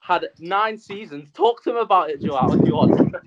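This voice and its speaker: A young man with a fairly high voice hosts a podcast over a video call, chatty and upbeat. The audio is dull and a little muffled, like a webcam connection. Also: expressive, moderate pace, very noisy. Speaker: podcast host. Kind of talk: casual podcast intro.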